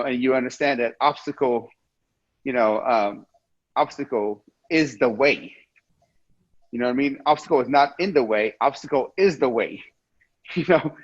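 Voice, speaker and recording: A man's voice: medium pitch, no echo. A man in his forties from Iran, a guest on an interview over a video call, talking earnestly with many repeats and restarts. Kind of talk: casual interview talk. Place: indoor room with ceiling fan, video call. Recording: muffled dry video call audio.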